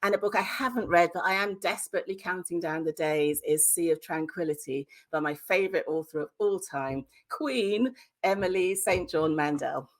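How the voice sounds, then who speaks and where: A woman's voice: fairly low pitch, moderate pace. A British woman speaking candidly and emphatically as a guest in a conversational interview, sharing advice. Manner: candid, emphatic, mildly sweary. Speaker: debut novelist, podcast guest. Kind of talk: conversational interview answers, giving writing advice.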